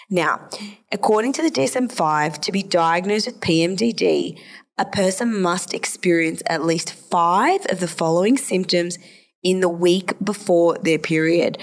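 A woman podcast host and psychologist explaining a topic in a solo episode, her voice a bit hoarse and nasal from a sore throat. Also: fairly low pitch.

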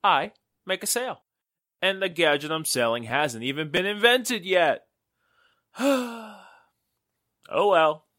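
Man playing a wisecracking, lively 1940s radio pal. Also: high-pitched, slow pace, long pauses, dry recording, clean studio sound, heavily acted. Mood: playful, wry. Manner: jokey, theatrical patter. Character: wisecracking, playful man friend of a newspaperwoman.

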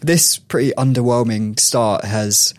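Podcast host, man, mid pitch, dry close sound, chatty storyteller. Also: unhurried, no room sound. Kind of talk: casual chat.

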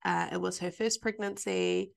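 A New Zealand woman hosts a relaxed podcast chat, a little stuffy and nasal from a cold. She keeps the talk going with quick, friendly affirmations. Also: on the low side, creaky. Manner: chatty, encouraging, quick affirmations. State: congested, slightly nasal from a cold. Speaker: podcast host. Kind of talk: casual podcast interview.

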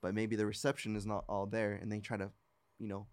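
A man co-hosting a podcast, talking casually in halting, tentative phrases. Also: on the low side, flat delivery, no echo. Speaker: podcast co-host. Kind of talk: casual chat.

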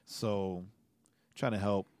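A man's voice: lowish voice, steady tone, takes it slowly, darkish tone, clean tone, no room sound, clean studio sound. A man in his forties co-hosting a podcast, telling stories animatedly, laughing often and checking in with "right?". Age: 40s. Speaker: podcast co-host. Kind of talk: casual chat.

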